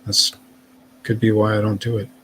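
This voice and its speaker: A man's voice: lowish voice, completely flat delivery, moderate pace, fairly bright tone, a little hiss or hum, low-quality recording. A man chatting casually as a guest on a live stream, rambling and hesitant with many fillers.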